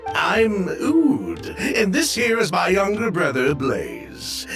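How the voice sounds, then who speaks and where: A jovial, smooth-talking merchant in a video game. He drawls slowly and theatrically, his fairly high male voice swooping widely, with faint music behind. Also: wildly swooping pitch, a bit bright, exaggerated voice.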